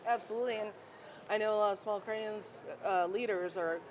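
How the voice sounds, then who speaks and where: A woman's voice: medium pitch, fast. A woman hosting an interview show, asking rambling questions with fillers at a conference. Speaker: podcast host. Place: busy conference hall. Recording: noise of a conference floor.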